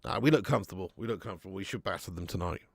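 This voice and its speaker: A man hosting a live fan watch-along of a football match at home, chatting to viewers with sweary banter and bursting into loud cheering at chances. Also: low-pitched, racing, gravelly, breathy edge, dry recording, studio quality. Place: at home in front of a camera.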